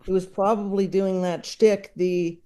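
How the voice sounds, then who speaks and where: A man with a soft-edged, mellow voice talks casually as an interview guest. He goes slowly and haltingly, wandering through his thoughts in a rambling way. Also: high-pitched, flat delivery, smooth. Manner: halting, rambling, with chuckling asides. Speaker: podcast guest, comedy enthusiast.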